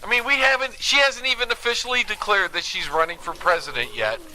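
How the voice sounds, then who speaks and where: A man's voice: high voice, fast talker, sharp tone, slight background noise, rough recording. A man hosting talk radio in a combative monologue, sarcastic and emphatic. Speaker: radio talk show host.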